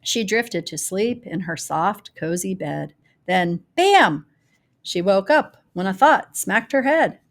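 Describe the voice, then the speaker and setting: A woman reads a children's picture book in rhyme. Her pitch sits in the middle for a woman. She tells it expressively and deliberately, leaning on key words for dramatic effect. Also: animated. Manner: dramatic, deliberate storytelling with varied emphasis. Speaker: storybook reader.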